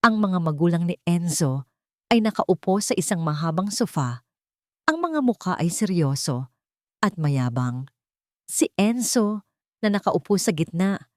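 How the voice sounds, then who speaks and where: A woman's voice: on the low side, a little mellow, dry recording, studio quality. A woman narrating a scripted, dramatic love story.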